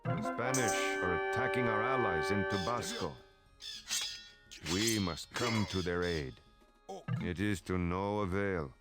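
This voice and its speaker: A man playing a proud, stern Aztec war commander in a video game, speaking in a declamatory, deliberate way. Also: sits low. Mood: stern, concerned. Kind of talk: scripted game dialogue. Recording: background music under the voice.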